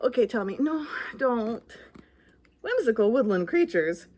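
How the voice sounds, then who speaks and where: A woman acts out a little storybook ghost who bluffs about being brave but scares easily. The voice sits high and swings widely, with theatrical exclamations and anxious questions. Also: sing-song, a little slow, darkish tone. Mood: indignant, pleading. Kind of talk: children's picture book read-aloud.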